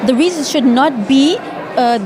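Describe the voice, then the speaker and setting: A woman's voice: sits high, normal speed, noisy background. A woman from Ethiopia, an Amharic native, answering interview questions as a magazine editor, thoughtful, with frequent restarts and fillers.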